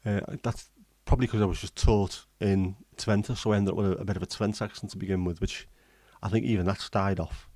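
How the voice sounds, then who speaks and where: Chatty man, low voice. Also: steady tone, a little fast, hardly any pauses, darkish tone, no echo. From England. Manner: chatty and rambling, with many ums and restarts. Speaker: livestream host. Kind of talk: casual chat, reading and answering live comments.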